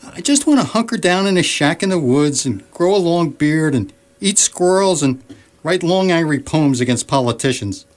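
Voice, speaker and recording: A grumpy husband in a comic radio sketch, acted by a man. He grumbles in exasperation like someone done with civilization, and his pitch moves around a great deal from phrase to phrase. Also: expressive, moderate pace, natural voice. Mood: gloomy, grumbling. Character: grumpy, fed-up husband longing to be a recluse. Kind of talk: comedic radio sketch acting.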